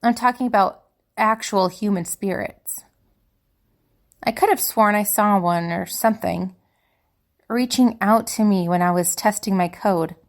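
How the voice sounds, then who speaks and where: A woman playing a young spellcaster student in an audio drama, confiding and hesitant, anxious and wistful. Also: medium pitch. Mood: confiding, uneasy. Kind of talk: dramatic monologue in fiction podcast.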